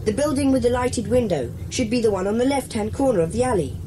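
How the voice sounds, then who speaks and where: A woman playing a bossy, sensible schoolboy leader in a children's audio drama, speaking in a brisk, decisive way. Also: fairly high pitch, animated, noisy background, close to an everyday voice. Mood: thoughtful.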